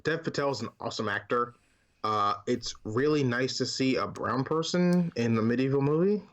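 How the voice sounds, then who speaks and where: A man's voice: medium pitch, animated, moderate pace, muffled recording. A man co-hosting a podcast, chatting casually about movies in an enthusiastic, informal and emphatic way. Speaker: podcast co-host.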